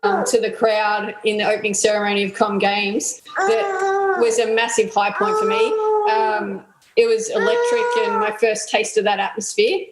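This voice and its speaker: A woman in her thirties with an Australian accent, answering interview questions in a relaxed way, with lots of ums and yeahs. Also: medium pitch, normal speed, echoey room, very noisy. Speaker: athlete guest.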